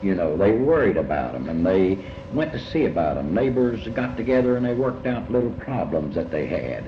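An older man speaking reflectively in an interview about his life, halting and often repeating words as he rephrases. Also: low-pitched, big pitch swings. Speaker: interviewee recalling early life. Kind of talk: reflective oral history interview. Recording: muffled, noisy, echoey room recording.

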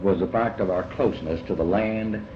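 Deep-voiced older man, muffled echoey recording. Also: noisy background. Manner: halting, often repeats words and rephrases. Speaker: interviewee recalling early life. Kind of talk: reflective oral history interview.

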